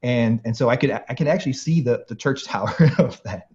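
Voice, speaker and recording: Late 30s guy from austria, harpsichord player being interviewed, mellow voice, lots of ums and restarts, kinda muffled audio. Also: medium pitch, brisk. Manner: thoughtful, many fillers and restarts, laughs often. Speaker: guest harpsichordist. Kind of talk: interview conversation.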